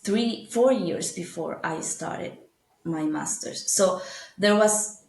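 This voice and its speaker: A woman's voice: fairly low pitch, takes it slowly, creaky, darkish tone. Venezuelan woman speaking English in an echoey room. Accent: Venezuelan Spanish. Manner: thoughtful, frequent fillers and restarts, laughs easily. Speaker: guest. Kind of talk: casual interview talk.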